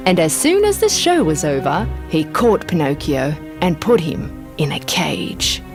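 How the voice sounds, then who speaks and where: Casting: female children's story narrator, hugely expressive pitch, medium register, music bed. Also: measured pace.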